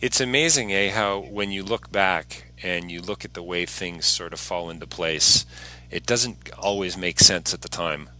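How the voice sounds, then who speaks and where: A man's voice: low-pitched, a little slow, pushed and bright, poor audio. A man hosting a casual interview podcast, talking in an earnest, encouraging way.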